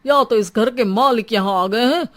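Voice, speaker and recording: A woman's voice: a little high, moderate pace, lightly acted. A woman voicing a sceptical, joking, matter-of-fact man in a cartoon story. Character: sceptical, teasing neighbourhood man, reasoning calmly. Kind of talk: Hindi cartoon story acting.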